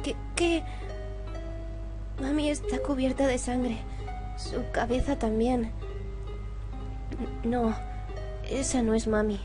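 Woman acting a high-pitched frightened young boy. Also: steady tone, slow pace, pauses often, over music. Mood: panicked. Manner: stammering, exclaiming, dramatic. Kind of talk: acted reading of game dialogue.

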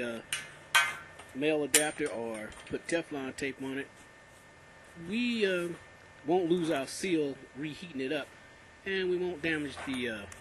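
A man giving a casual how-to lesson on plumbing while he works on a concrete floor, speaking plainly with many pauses. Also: fairly high pitch. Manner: plain, unhurried, many "uh" pauses between terms. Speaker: plumbing instructor. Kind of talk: casual how-to narration over hands-on work. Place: indoors on a concrete floor, working close to the camera. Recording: loud noise behind.

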